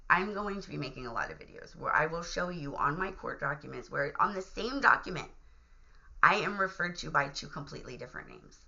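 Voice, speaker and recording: A hoarse woman from Virginia making an urgent, emphatic appeal straight to camera, sometimes dropping to a whisper. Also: sits low, sharp tone. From Virginia, USA. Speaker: vlogger. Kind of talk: impassioned direct-to-camera appeal. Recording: dull lo-fi recording.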